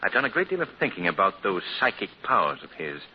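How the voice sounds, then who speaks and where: Suave gentleman detective, polished, lively intonation, muffled old radio sound, faint hiss. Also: on the low side, quick pace. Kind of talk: 1940s radio acting. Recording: muffled 1940s radio broadcast.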